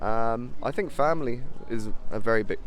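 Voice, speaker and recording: A man's voice: moderate pace, a little hiss or hum, poor audio. A young man from the United Kingdom speaking in an understated way outdoors. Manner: low-key, understated.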